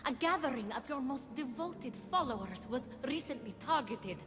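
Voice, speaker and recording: An actress playing a scheming goddess and false friend in a video game, speaking in a formal, insinuating, theatrical way. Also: female voice, high-pitched, animated, normal speed. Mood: sly, accusing. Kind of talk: dramatic video game acting. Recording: hall reverb, music behind.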